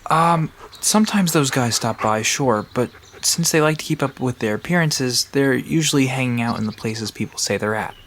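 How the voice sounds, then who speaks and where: A man's voice: mid-range voice, normal speed, hardly any pauses, fairly bright tone, slight background noise, lightly acted. A man playing an easygoing park guide in an audio drama, explaining things in a casual, conversational manner. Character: Sam, easygoing male park guide to cryptids.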